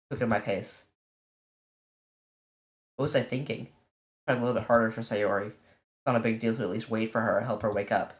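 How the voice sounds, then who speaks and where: A let's player casually commenting on a visual novel and reading its text aloud, in an informal, halting way. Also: mid-range voice. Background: game music.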